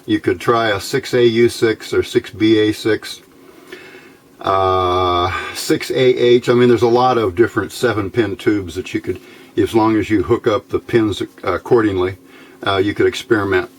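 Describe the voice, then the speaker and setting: A man giving an informal, somewhat halting hands-on electronics demonstration as the host. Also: on the low side, fairly even intonation, a little hiss or hum. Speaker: host of electronics tinkering videos. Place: indoor workbench.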